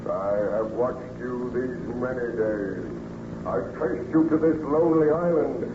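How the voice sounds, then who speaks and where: A man's voice: fairly high pitch, narrow, tinny audio, natural voice. An actor playing a brooding, menacing man-made monster in an old radio horror play, speaking in formal, ominous phrases. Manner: slow, ominous, formal archaic speech. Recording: distant, echoey, noisy, phone-thin old radio.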